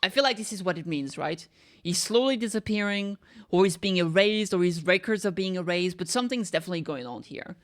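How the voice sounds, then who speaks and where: A woman hosts a casual reaction commentary in a fairly low voice. She thinks aloud as she speculates, working through ideas step by step. She often tags her points with a quick check for agreement. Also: animated. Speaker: reaction video host.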